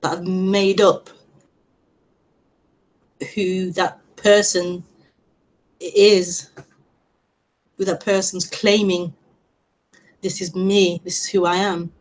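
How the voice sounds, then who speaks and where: A woman with a stutter, hosting an online meeting and giving a gentle, reflective spiritual talk with frequent repetitions and blocks. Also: on the low side, flat delivery, takes it slowly, pauses often, poor audio. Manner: gentle, reflective, laughs lightly at herself. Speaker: non-duality teacher hosting an online meeting. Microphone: online call broadcast.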